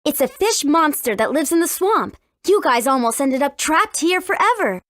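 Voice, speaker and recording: Game heroine: spirited, bossy teen girl, high and animated, wry edge. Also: normal speed, dry recording. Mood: matter-of-fact. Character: spirited, confident, bossy teenage girl adventurer. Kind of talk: video game character acting.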